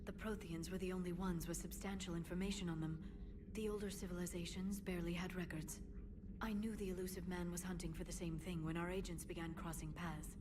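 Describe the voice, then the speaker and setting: A woman playing a thoughtful young alien scholar in a video game, measured, sincere and articulate. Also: lowish voice, level tone, crowd noise, natural voice. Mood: matter-of-fact.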